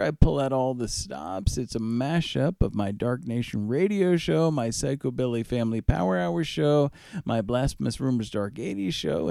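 A man's voice: mid-range voice, gravelly, warm tone, no room sound, clean studio sound. A man hosting a music radio show, casually announcing songs with playful, wry asides.

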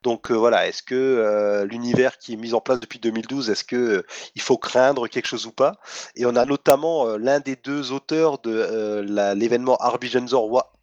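A man's voice: mid-range voice, steady tone, normal speed, a little mellow, no room sound. Man, stuffy nose, head cold, hesitant, filler-heavy, casual chat, muffled lo-fi sound. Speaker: comics news presenter.

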